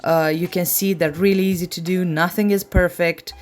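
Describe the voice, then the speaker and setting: A woman's voice: lowish voice, steady tone, moderate pace, a little mellow, faint music behind. A chatty, self-deprecating woman with a non-native English accent, giving a casual nail art tutorial in voice-over. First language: not English.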